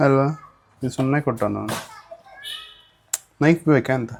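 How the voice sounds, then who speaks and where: A man's voice: medium pitch, normal speed, gravelly, background noise. A man with a Karnataka Kannada accent chatting informally to viewers as a vlogger. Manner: informal, addressing viewers as guys. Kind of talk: casual vlog chat.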